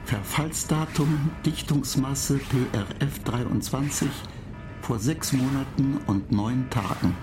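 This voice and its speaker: An actor as an impassive, slow-talking spaceship computer. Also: mid-range voice, over music, lightly acted. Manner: clipped, monotone technical announcements. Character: impassive spaceship computer reporting faults and countdowns. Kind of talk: radio play acting.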